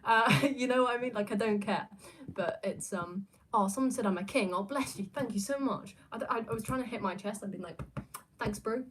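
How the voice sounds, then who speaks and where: A young woman with an English accent, though she is from New Zealand, chats loosely with her viewers. She rambles and restarts often and fills her pauses with ums. She is self-deprecating and casual as she reads out comments. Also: medium pitch, a little hiss or hum. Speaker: YouTuber reading viewer comments. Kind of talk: casual chat.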